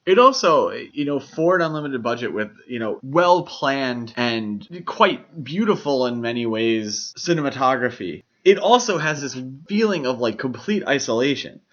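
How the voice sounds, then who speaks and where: Medium-pitched man, dull lo-fi recording. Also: expressive, normal speed, hardly any pauses. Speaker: podcast co-host. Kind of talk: casual film discussion. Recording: dull lo-fi microphone sound.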